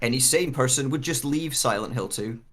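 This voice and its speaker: A man's voice: mid-range voice, moderate pace, a bit bright. A man speaking casually as a guest actor discussing a role, rambling and often restarting his sentences. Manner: rambling, restarts sentences, frequent "like" and "you know".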